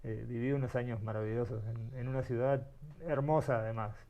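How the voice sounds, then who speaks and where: A man's voice: medium pitch, steady tone, brisk, mellow, dull audio. Reflective middle-aged man. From Uruguay. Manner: thoughtful, searching for words, frequent restarts. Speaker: guest, artist. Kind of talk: reflective interview storytelling.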